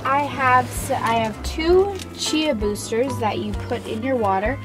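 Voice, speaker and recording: A woman's voice: a little high, unhurried, over music. A young woman co-hosting a casual snack review in a car, earnest and a little hesitant. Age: young adult. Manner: earnest, a bit hesitant, restarts. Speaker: co-host. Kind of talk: casual chat, product review. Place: parked car. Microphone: camera mounted in car.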